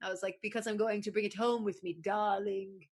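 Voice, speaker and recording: A woman's voice: medium pitch, little pitch movement, fairly quick. A woman from New England hosting a casual podcast in an affected British accent, with a theatrical, playful manner and lots of endearments. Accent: British, put on. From New England, USA. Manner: theatrical, playful, peppers talk with endearments like love and darling. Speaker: podcast host.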